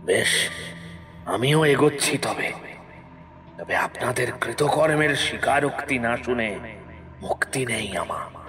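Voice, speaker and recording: A man playing a stern, sorrowful, quietly menacing ghost in an audio horror story, speaking in a measured, deliberate way. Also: mid-range voice, natural voice. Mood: grave warning.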